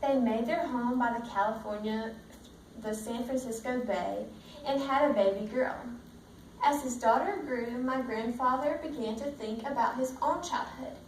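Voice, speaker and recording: A woman reading a picture book aloud as a teacher, pausing to put simple questions to young pupils. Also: a little high. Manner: checks understanding with simple questions. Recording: roomy, distant mic, faint background noise.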